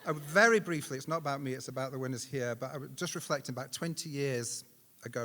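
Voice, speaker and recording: A middle-aged man, a charity director, giving an informal, self-deprecating and halting unscripted speech to announce an award. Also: mid-range voice, pushed and bright. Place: large reverberant hall at a live ceremony. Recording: echoey hall with long reverb.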